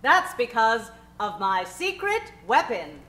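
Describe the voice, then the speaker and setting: A woman's voice: a little high, sing-song, slow, sharp tone, full character voice. A woman playing a sweet, obliging housewife in a parody old-time radio commercial. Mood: cheery. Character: cheery, obliging mid-century housewife in radio ad. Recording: echoey stage.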